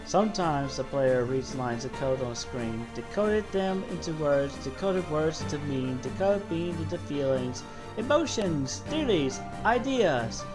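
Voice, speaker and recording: A woman voicing a solemn godlike storyteller, giving a measured, dramatic reading of game dialogue. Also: sits low, low-quality recording. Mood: solemn, reverent. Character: low-voiced godlike cosmic being, solemn storyteller.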